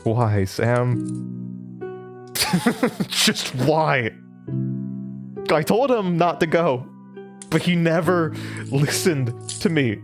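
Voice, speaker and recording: Bitter grieving man, slow but pitch all over, halting, music behind, audio drama. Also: lively intonation, full character voice. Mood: anguished, bitter. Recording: music under the voice.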